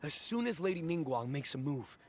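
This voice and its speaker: A man's voice: fairly high pitch, very animated, a little fast. A man playing an earnest, anxious small-time businessman justifying himself in a video game. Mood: defensive. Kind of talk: scripted video game voice acting.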